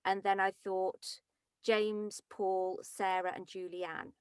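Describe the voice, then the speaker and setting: A woman's voice: medium pitch, level tone, slow, smooth, dry recording, studio quality. A woman casually organising a group read, making tentative and polite suggestions. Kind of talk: casual planning discussion.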